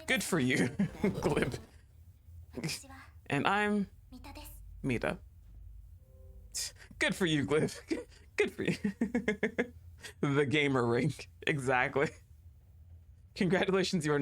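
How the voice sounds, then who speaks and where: Low-voiced woman streamer, teasing. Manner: chatty and teasing, laughs often, talks to the game. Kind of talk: casual gaming commentary.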